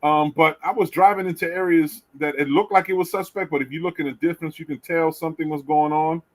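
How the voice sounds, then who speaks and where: A middle-aged man hosting a podcast, chatting casually and telling lively stories with casual swearing. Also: a little high, a little fast, rough recording. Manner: animated storyteller, swears casually. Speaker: podcast host. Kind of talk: casual chat.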